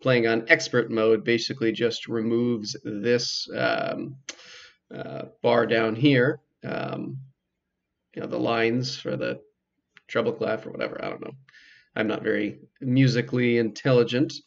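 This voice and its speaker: A man's voice: mid-range voice, steady tone, a little slow, vocal fry, dull audio. A man giving casual, hesitant commentary as he plays through a game. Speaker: game player narrating a playthrough.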